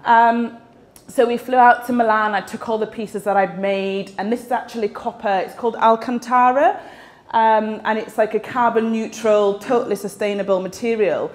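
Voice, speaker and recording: A woman's voice: fairly high pitch, steady tone, moderate pace. A young woman with a West Yorkshire accent, giving a chatty talk at a meetup into a handheld mic in a large event space. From West Yorkshire, England. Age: young adult. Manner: chatty, with many fillers. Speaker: speaker at a tech meetup. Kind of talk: casual presentation talk. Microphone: handheld microphone.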